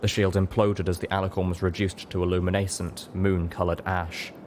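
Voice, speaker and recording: A man's voice: deep voice, little pitch movement, a little fast, a little mellow, a little hiss or hum. A man reading an audiobook, giving scripted fiction a dramatic narration. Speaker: audiobook reader.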